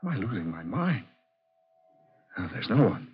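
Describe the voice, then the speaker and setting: A man's voice: on the low side, very animated, normal speed. An actor playing an anxious, bewildered male office clerk in a 1940s horror radio drama. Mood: startled, bewildered. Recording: dull vintage 1940s radio recording.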